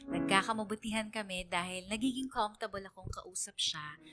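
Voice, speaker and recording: An earnest young woman, voiced by an actress narrates her love story in a confessional, sincere way. Her medium-pitched voice carries scripted radio narration with clear music behind it. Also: brisk, natural voice. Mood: confiding. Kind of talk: scripted first-person radio letter narration.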